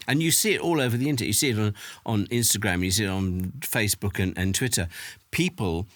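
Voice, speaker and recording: A man's voice: lowish voice, fast talker, dry recording. A man giving his opinions emphatically and conversationally in a documentary interview.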